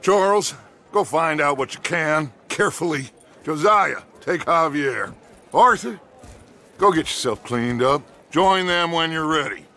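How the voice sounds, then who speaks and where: A man's voice: on the high side, animated, unhurried, bright, forceful tone, exaggerated voice. A man playing a commanding, sardonic outlaw gang leader in a western video game, with an authoritative, oratorical manner. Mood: resolute, commanding.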